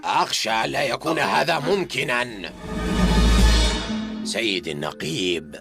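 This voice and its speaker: Cartoon sergeant: creaky voice, dutiful, formal, animated delivery. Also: male voice, medium pitch, unhurried, over loud music. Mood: firm. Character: firm, dutiful army sergeant, unyielding. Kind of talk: dubbed cartoon acting.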